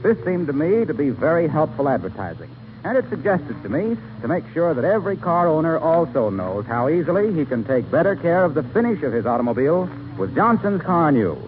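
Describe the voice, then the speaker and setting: A man's voice: a little high, very animated, brisk, phone-quality sound, background noise. A man announcing a scripted 1940s radio program with polished, emphatic delivery. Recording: thin vintage radio broadcast recording.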